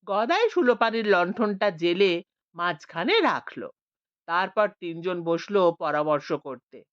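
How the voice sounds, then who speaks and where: A woman narrating a story as an audiobook, with a measured, expressive reading. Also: fairly low pitch, no echo. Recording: dull lo-fi, dry.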